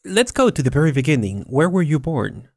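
A man hosting a podcast interview, asking questions with enthusiasm and quick to laugh and react. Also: a little high, big pitch swings, gravelly, no echo, clean studio sound. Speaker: podcast host.

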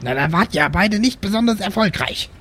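A man's voice: sits high, expressive, fairly quick, pushed and bright, heavily acted. A man playing a small, nearsighted, worried hermit adventurer who argues back, in an improvised fantasy tabletop audio game. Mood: doubtful.

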